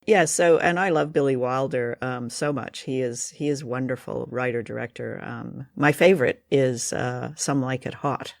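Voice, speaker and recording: A man's voice: medium pitch, fairly even intonation, normal speed, gravelly, few pauses, no echo, studio quality. A man hosting a conversational discussion show, talking appreciatively and with enthusiasm. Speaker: podcast host leading discussion. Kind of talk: conversational film and radio discussion.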